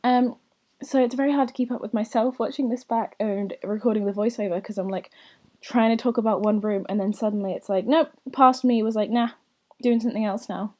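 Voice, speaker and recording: Chatty woman, slightly croaky voice. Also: a little high, steady tone, fairly quick. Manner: chatty and rambling, with soft whispery asides. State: slightly croaky, recovering from lost voice. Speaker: gaming channel host. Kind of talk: casual voiceover commentary over gameplay.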